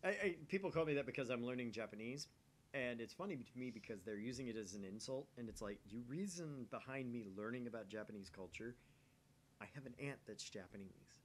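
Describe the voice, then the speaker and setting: A man's voice: lively intonation, brisk. A man hosting a game channel, reading visual novel narration aloud and chatting casually. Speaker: video game channel host. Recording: faint rumbly background noise.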